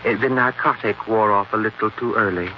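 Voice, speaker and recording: An actor playing a courtly, coldly sinister husband with an oily, overly courteous manner in an old radio drama. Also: male voice, on the low side, a little fast, natural voice. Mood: oily, soothing. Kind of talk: 1940s radio acting. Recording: noisy, muffled 1940s radio recording.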